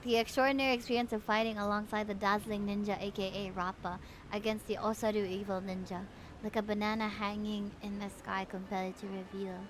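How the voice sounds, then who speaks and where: A woman's voice: medium pitch, fairly quick, slight background noise. A woman livestreaming a video game, reading dialogue aloud and reacting casually and expressively. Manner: expressive, reacts with exclamations and laughter.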